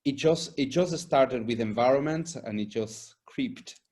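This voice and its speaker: A man's voice: mid-range voice, unhurried, a little mellow. Earnest man being interviewed. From Argentina and Spain. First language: Spanish. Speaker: environmental and safety consultant being interviewed. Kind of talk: conversational interview answers.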